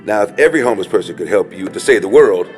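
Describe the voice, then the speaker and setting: A man's voice: fairly low pitch, animated, very fast, darkish tone, close to an everyday voice, poor audio. Man playing an eccentric homeless man over music. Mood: dry, instructive. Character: eccentric homeless man, dry, conspiracy-minded. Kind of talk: audio drama acting.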